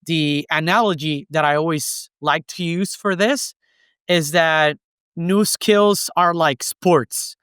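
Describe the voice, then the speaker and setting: A man's voice: on the high side, slow, fairly bright tone, clean tone, no echo, clean studio sound. A native Spanish-speaking man with a Puerto Rican accent, giving explanatory advice as a guest in a conversational interview. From Puerto Rico. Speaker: podcast guest, consultant.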